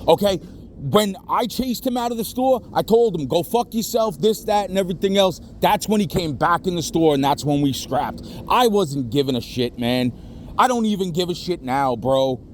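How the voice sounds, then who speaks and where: A middle-aged man with a slightly high-pitched voice rambles in agitation over the phone. He swears heavily as he vents, with faint vehicle noise behind him. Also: lively intonation, normal speed. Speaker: caller reporting to police. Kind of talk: venting on a phone call. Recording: noisy phone call, traffic sounds behind.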